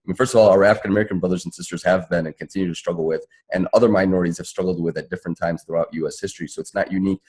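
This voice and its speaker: Male activist panelist, low and husky, rapid, emphatic, unscripted advocacy delivery. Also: fairly even intonation, darkish tone. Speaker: panelist, civil rights attorney and activist. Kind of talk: spontaneous panel talk, advocacy.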